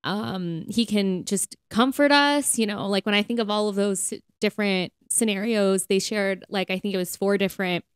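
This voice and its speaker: A woman with a smooth, clear voice at an everyday pitch. She chats as a podcast co-host about faith, earnest and informal, often leaning on "like". The sound is dry. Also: normal speed, few pauses, dry recording. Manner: conversational, earnest, filler-heavy with frequent "like". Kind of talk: casual faith podcast chat.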